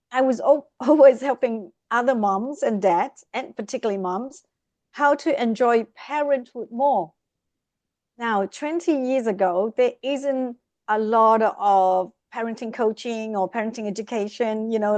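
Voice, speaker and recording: Podcast guest: middle-aged woman, expressive storyteller, dry close sound. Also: a little high, no echo. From Vietnam. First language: Vietnamese. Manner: lively, expressive, speeds up in bursts. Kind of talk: casual interview storytelling.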